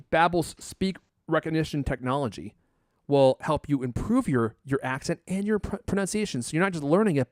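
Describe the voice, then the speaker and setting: A man's voice: on the high side, dry recording, studio quality. A man hosting a podcast, chatting casually and reading ads in a jokey, teasing way with theatrical emphasis. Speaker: podcast host. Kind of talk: casual chat and ad reads.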